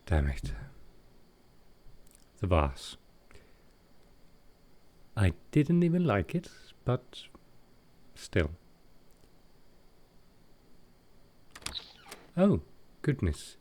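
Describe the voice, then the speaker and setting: Man acting a slow, gentle, awkward vampire. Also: lowish voice, wildly swooping pitch, exaggerated voice. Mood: flustered. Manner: halting, hesitant, tender, soft-spoken. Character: gentle, awkward male vampire caring for a guest. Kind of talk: intimate comfort roleplay audio acting.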